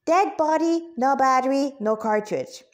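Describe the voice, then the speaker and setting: Storybook creature companion, voiced by a woman: high, cheerful, chatty explainer with pointed edge. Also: a little slow. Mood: explaining.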